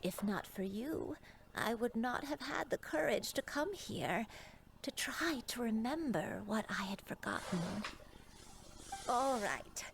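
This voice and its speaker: Slow, breathy old grandmother. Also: fairly high pitch, full character voice. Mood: tender, wistful. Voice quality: breathy, often sinking to a whisper. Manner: slow, halting, wistful. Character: frail, gentle old grandmother with failing memory. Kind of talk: dramatic video game voice acting. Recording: music under the voice.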